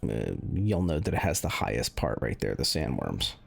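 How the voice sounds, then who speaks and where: A man giving casual, unscripted commentary as a gaming video creator, explaining things in a halting way. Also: a bass voice, sing-song, gravelly, husky, faint music behind.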